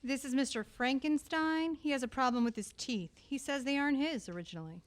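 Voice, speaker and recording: A woman's voice: high-pitched, brisk, lightly acted. A woman in a radio comedy playing a matter-of-fact receptionist at a dental office. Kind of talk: comic radio-play acting.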